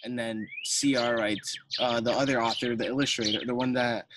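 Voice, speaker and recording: A man's voice: medium pitch, steady tone, moderate pace, background noise. A teenage boy from Colombia whose first language is Spanish, reading a picture book aloud to children with many hesitant ums. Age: teens. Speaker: student reader.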